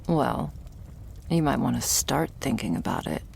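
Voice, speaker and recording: A woman playing a guarded, sardonic young vampire's servant in an intimate ASMR roleplay, speaking softly and dryly, often near a whisper. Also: very animated, a little slow, creaky, a little hiss or hum, exaggerated voice. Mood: wry, probing. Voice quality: low, soft voice often dropping into whisper. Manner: dry, hesitant, foul-mouthed, sighing delivery. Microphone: close ASMR microphone.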